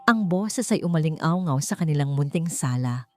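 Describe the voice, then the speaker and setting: A woman from the Philippines narrating a dramatic love story in a calm, measured, emotive way. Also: deep voice. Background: faint background music.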